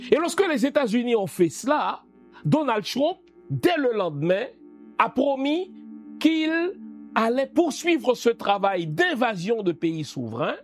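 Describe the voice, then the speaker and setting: Haitian-born guy ranting about politics, high voice that swings all over, rhetorical questions. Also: big pitch swings, a little slow, soft background music. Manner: emphatic, rhetorical questions to the listener. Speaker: political commentator. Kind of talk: spoken commentary.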